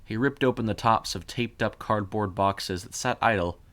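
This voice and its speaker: A man with a fairly low voice narrates a piece of scripted fiction. He reads as the storyteller of an audio story, setting out the action in prose. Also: normal speed, fairly bright tone.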